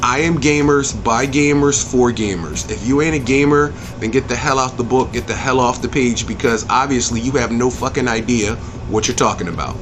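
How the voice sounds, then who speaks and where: A man's voice: mid-range voice, normal speed, hardly any pauses, background noise. A man hosting an opinionated review rant, speaking in an emphatic, blunt, profane way and repeating phrases for punch. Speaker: video game movie reviewer and channel host.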